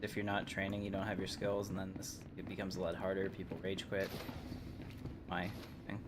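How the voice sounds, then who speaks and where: A man's voice: low-pitched, completely flat delivery, moderate pace. A man giving casual live commentary as he streams a video game. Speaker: game livestreamer. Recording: clear background noise, game ambience.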